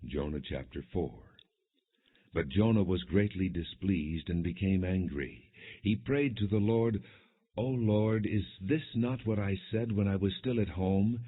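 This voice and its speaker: Low husky guy reading the bible out loud, measured and solemn, sounds kinda muffled. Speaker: audiobook narrator. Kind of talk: scripted scripture narration, non-dramatized reading. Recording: dull, muffled lo-fi audio.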